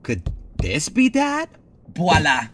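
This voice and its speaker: A man's voice: fairly high pitch, huge pitch swings, slow pace, fairly bright tone, a little hiss or hum, exaggerated voice. A man acting a theatrical, helpful friend who mixes Spanish into English in an audio drama. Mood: dramatic, eager.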